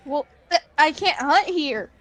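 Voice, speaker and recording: A woman's voice: high-pitched, expressive, a little slow, fairly bright tone. A woman chatting casually as a player in a tabletop roleplaying game.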